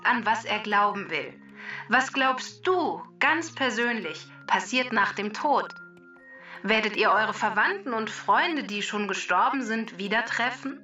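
An immortal database quizzing children, emphatic and sure of herself. The voice is fairly high and very crisp in tone, with slow delivery. It sounds a little distant, with music underneath. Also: female voice, bright, forceful tone, dull audio. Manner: theatrical, emphatic, addressing children directly. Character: immortal talking database, female quiz-giving know-it-all machine. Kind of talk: scripted explaining and quizzing for children.

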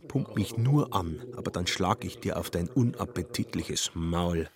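An actor playing a wary, irritable small-time swindler in a radio play, with a grudging manner. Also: male voice, low-pitched, expressive, measured pace, a crowd in the background. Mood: irritated. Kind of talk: German radio play acting.

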